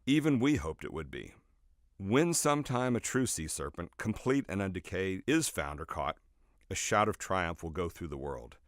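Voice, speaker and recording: A man reading his own nonfiction book as scripted narration, in a measured, expressive storytelling way with emphatic phrasing. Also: deep voice, no echo, clean studio sound. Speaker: author reading own audiobook.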